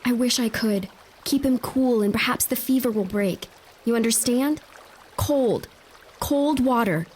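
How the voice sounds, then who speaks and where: A woman's voice: fairly high pitch, measured pace, darkish tone, slight background noise, lightly acted. Actress as a frustrated, blunt young noblewoman. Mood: concerned. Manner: blunt, exasperated. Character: frustrated, doubting young noblewoman traveling to her husband. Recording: audio drama with faint water ambience.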